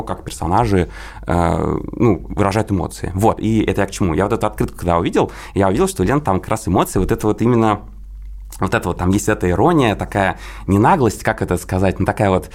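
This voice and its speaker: A man speaks in a deep, fry-edged voice. He rattles along casually, circling around his point with hedges and half-finished explanations, as a guest in a relaxed interview. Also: fast. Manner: rambling, hedging explanations. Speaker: guest, creative partner in an illustration and games project.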